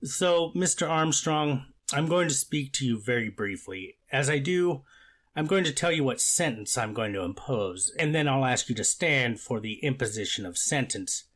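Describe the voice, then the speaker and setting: Man acting as a measured, admonishing judge. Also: mid-range voice, lively intonation, a bit bright. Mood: formal, measured. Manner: deliberate, admonishing, formal. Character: stern but measured, paternal male courtroom judge. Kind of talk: amateur readers theater court transcript reenactment.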